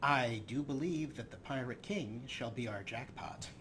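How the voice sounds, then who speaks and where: A man's voice: medium pitch, unhurried, a little hiss or hum. A man hosting a video game let's play, giving commentary as he goes. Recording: loud game ambience behind voice.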